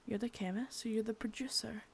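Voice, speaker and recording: A woman's voice: fairly high pitch, steady tone, a little fast, vocal fry, husky. Woman playing a menacing female crime boss. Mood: cold contempt. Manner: menacing, swearing, soft with bits of whisper. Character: cold, contemptuous female boss of a drug ring. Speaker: ASMR creator. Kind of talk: unscripted ASMR roleplay.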